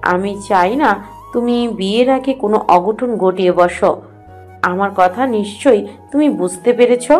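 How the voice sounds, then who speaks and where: A woman's voice: medium pitch, unhurried, a little mellow, lightly acted. A woman playing a stern, strict mother of a grown son in an audio story. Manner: firm, cutting off objections. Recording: music behind the voice.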